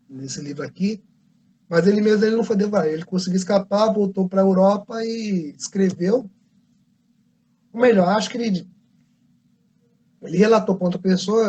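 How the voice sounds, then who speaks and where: Brazilian male artist, higher-pitched, warm and breathy, rambling livestream storyteller. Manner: rambling, self-correcting storyteller. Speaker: comic book artist. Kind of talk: casual livestream talk. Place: convention livestream.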